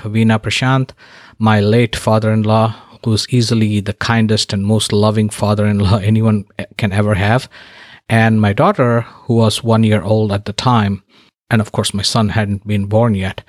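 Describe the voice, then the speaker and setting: Podcast host from India, dry close sound. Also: male voice, on the low side, dry recording. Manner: earnest and emphatic, with wry asides. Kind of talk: scripted podcast monologue.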